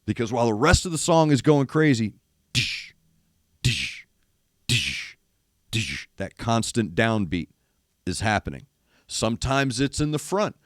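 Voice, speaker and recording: An older man, a former rock radio DJ, hosts a music reaction show. He is losing his voice and sounds gravelly, speaks emphatically, and mimics drum hits while talking. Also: mid-range voice, big pitch swings, unhurried, long pauses, no echo, studio quality. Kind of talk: casual music commentary. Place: studio in east Nashville.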